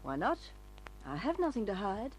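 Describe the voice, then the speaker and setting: A woman plays a cool, secretive dame in an old radio detective serial. Her delivery is curt and poised, with lively swings of pitch, over faint hum. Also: normal speed, lightly acted. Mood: cool, evasive. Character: cool, guarded femme fatale brunette woman. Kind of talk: old-time radio crime drama acting. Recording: noisy humming old radio recording.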